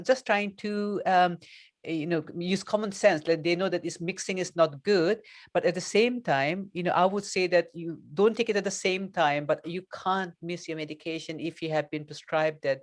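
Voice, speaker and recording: A woman speaking as a guest expert in an interview, explaining conversationally and earnestly, often voicing imagined remarks as examples. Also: fairly low pitch. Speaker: guest doctor, mental health educator.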